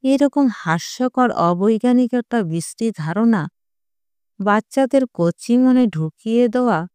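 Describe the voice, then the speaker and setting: A woman's voice: fairly high pitch, lively intonation, a little slow, darkish tone, smooth, no room sound. A woman storyteller voicing a stern, indignant young mother, lecturing emphatically in an audio story.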